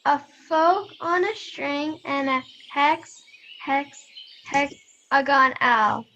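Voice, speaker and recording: Hesitant little girl, radio play. Very high, very slow, dull recording with faint birdsong. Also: sharp tone, a little hiss or hum, exaggerated voice. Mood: careful. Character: hesitant younger little girl, struggling to keep up. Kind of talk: audio drama acting.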